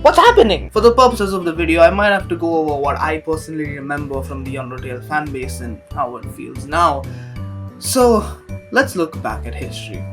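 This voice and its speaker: A man's voice: a little high, expressive, brisk. A young adult man hosting a casual, comedic commentary rant, joking crudely with sarcasm and exaggeration. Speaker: YouTube commentary host. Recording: music behind the voice. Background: background music.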